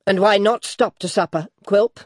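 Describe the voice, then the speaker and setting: An indignant old storybook mother-in-law, put on by a man, high in pitch and huffy. She pushes her challenges quarrelsomely, with some fear underneath. The recording is dry. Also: moderate pace, dry recording. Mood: challenging.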